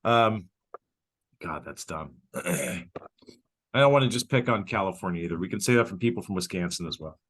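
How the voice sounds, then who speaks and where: A man's voice: sits low, moderate pace, husky. A man co-hosting a podcast in casual comedic chat, blunt, profane and joking, acting out bits of dialogue as he tells stories. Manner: animated storytelling with acted-out dialogue. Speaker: podcast co-host.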